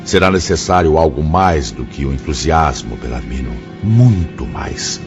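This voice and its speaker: A Brazilian actor playing a solemn, kindly spirit mentor in a radio drama, speaking in a measured, formal, sermon-like way. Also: male voice, rumbling low, lively intonation, creaky, full character voice. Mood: solemn, exhorting. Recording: dull lo-fi audio with background music.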